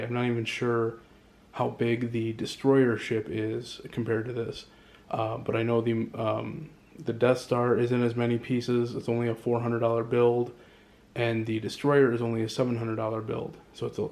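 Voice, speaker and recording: A man vlogging casually from his condo, rambling and pausing often to find his words. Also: mid-range voice, gravelly. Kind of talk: casual show-and-tell vlog. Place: indoors at home in a condo.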